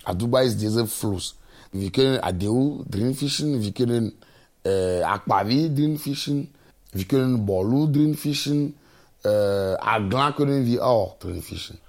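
A man reminisces in a creaky voice at a very slow pace. His phrasing is simple and repetitive, like someone listing memories. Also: medium pitch, lively intonation, a little mellow. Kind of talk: unscripted personal reminiscence.

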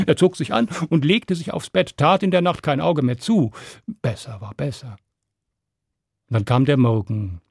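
A man telling a fairy tale to children in an expressive, dramatic storyteller's manner with deliberate pauses. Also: a little high, vocal fry, no room sound, studio quality.

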